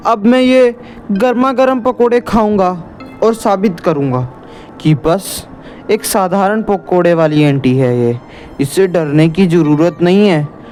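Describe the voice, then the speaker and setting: A man's voice: sits high, a little mellow, voice pushed up. A man voicing a cocky, joking village boy in a horror cartoon story, breezy and dismissive.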